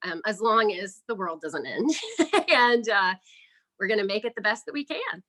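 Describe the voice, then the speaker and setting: A woman is being interviewed in a relaxed, conversational way. Her voice is notably bright and forward, at a medium pitch. She explains things chattily, as an independent filmmaker would to a show host. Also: moderate pace.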